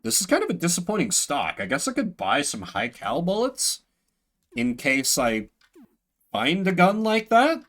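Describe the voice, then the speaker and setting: Animated man streaming a game, bright voice. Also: sits high, unhurried. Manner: casual, expressive, slangy. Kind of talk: casual game playthrough commentary, reading game text aloud.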